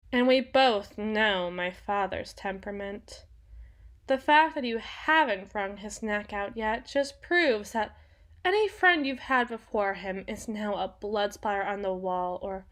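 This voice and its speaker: Woman acting a slow, high-voiced haughty empress. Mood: cold sarcasm. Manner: imperious, theatrical, formal archaic diction. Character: haughty, sharp-tongued young empress, possessive and indignant. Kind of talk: dramatic ASMR audio roleplay monologue.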